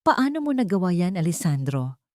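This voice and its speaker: A woman voicing a wronged young nanny in an audiobook drama, firm and intense, with deliberate phrasing. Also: on the low side, sing-song, warm tone, no echo. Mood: reproachful.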